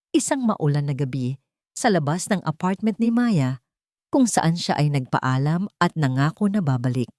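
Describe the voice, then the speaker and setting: A woman with a slightly low voice narrates a romantic audio drama in a scripted, dramatic style. The recording is close and dry. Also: a little mellow, no echo.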